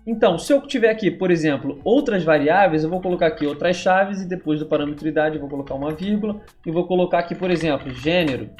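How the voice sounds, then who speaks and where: A Brazilian man in his twenties teaching a casual coding tutorial, chatty and relaxed, checking in often with his viewers. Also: a little high, quick pace, few pauses, faint music behind. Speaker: programming instructor.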